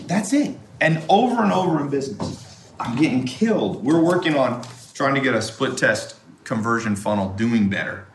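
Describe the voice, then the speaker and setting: Blunt, animated man in an echoey room. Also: medium pitch. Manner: blunt, animated, swears, jumps between topics. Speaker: host. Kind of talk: casual motivational talk. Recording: echoey room, faint noise.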